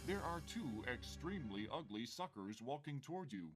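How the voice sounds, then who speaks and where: A man's voice: mid-range voice, very animated, lightly acted. Man as a wry game narrator over music. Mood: dramatic, wry. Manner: deliberate, storytelling, dryly humorous. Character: wry male narrator of a space adventure.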